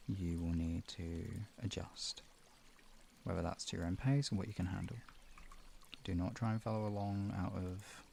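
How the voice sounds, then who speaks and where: A man with a low, gravelly and mellow voice, talking as the host of a guided relaxation stream. He is informal and gently instructive. There is some background noise in the recording. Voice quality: deep voice. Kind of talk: casual chat moving into guided relaxation and hypnosis instruction.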